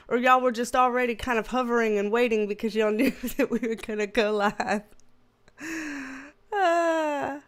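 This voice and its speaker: A woman with a nasal, stuffy voice, chatting casually and giggling as she hosts a livestream. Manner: bubbly, giggly, greets viewers with high squeals. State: stuffy with sinus trouble, sniffly. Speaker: tarot reader hosting a livestream. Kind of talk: casual livestream chat greeting viewers.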